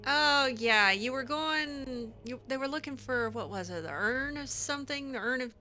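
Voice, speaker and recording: Female gameplay roleplayer, bright higher voice, sassy and blunt, casual improvised delivery. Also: normal speed, faint music behind. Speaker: gameplay roleplayer voicing own character's replies.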